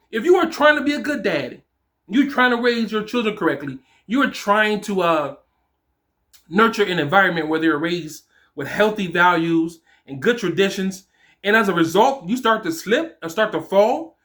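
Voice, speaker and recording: Male motivational coach, forties, high and bright voice, informal, emphatic and encouraging. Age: 40s. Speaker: fatherhood coach and host. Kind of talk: motivational talk addressed to fathers.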